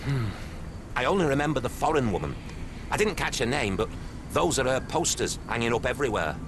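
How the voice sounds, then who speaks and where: A gruff British docker in a game cutscene. His delivery swings lively up and down, brusque and defensive in working-class slang. Clear outdoor noise surrounds him. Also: mid-range voice, fairly quick. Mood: grudging. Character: gruff, irritable working-class dock worker, adult man. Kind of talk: video game cutscene acting.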